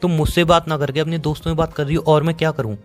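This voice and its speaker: A man's voice: fairly high pitch, level tone, faint music behind, natural voice. A man with an Indian accent playing a sarcastic, dismissive young boyfriend in a phone-call romance drama. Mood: resentful. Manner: sarcastic, dismissive, rapid-fire. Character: bitter, sarcastic young boyfriend fed up with his girlfriend.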